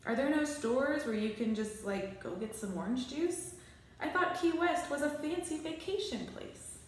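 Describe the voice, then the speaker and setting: An earnest, excitable schoolboy in a children's storybook voiced by a woman. He sounds lively and rather high. There is strong room echo around him. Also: normal speed, slight background noise. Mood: puzzled, earnest. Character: eager, excitable schoolboy best friend. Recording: very echoey small bare room.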